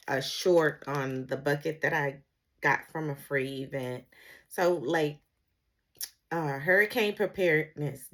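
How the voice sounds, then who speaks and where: A middle-aged woman with a low-pitched voice chats as if to her vlog viewers. Her delivery is informal and conversational, wandering from point to point and often pausing to search for a word. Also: a bit bright. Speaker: vlogger, former emergency dispatcher. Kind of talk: casual solo vlog chat.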